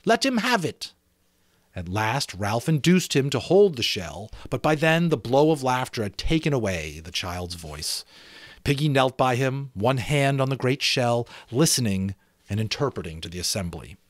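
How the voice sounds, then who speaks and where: A man's voice: mid-range voice, lively intonation, normal speed, no room sound, clean studio sound. A man narrating a novel expressively as an amateur reader, with dramatic storytelling and occasional casual asides.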